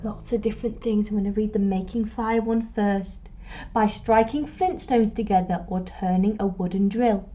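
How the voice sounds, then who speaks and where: A woman teacher reading a picture book aloud to children, expressively, with deliberate pauses and emphasis. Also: medium pitch, warm tone, highs cut off. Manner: prompts young listeners with questions. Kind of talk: storytime read-aloud.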